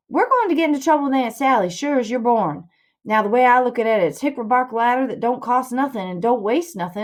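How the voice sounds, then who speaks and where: A woman's voice: on the high side, pitched above the performer's own voice, lightly acted. A woman voicing a practical, plain-spoken boy sidekick in a storybook reading, questioning in rustic dialect.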